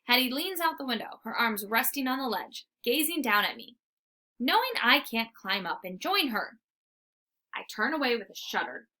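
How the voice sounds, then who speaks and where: A woman reading a children's book aloud as an expressive storyteller. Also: fairly high pitch, normal speed.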